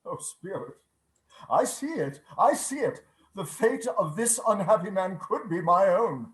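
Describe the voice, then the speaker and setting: A woman's voice: mid-range voice, animated, a little slow, lightly acted. Woman as frightened old miser Scrooge, echoing. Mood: fearful pleading. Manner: dramatic, pleading. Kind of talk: radio play reading. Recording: roomy, echoing video-call audio.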